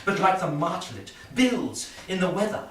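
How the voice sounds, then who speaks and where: Self-satisfied prince played by a man, high voice, slow stagey verse delivery, recorded distant in a reverberant room. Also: sing-song, fairly bright tone, exaggerated voice. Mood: haughty, deliberating. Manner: pompous, deliberate declaiming with dramatic pauses. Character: pompous, self-satisfied prince choosing among caskets. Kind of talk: Shakespearean staged reading, theatrical verse. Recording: echoey room from far off, faint noise.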